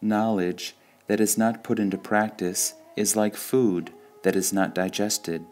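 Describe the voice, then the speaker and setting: A man reading devotional sayings from a script in a measured, deliberate way, pausing between short phrases. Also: on the low side, steady tone, a little mellow, faint music behind. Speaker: reader of spiritual quotations.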